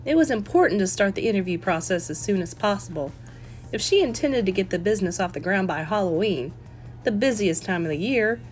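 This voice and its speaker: A woman reading a novel aloud as an audiobook, in an expressive storytelling style. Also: mid-range voice, brisk, soft background music. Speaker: audiobook reader.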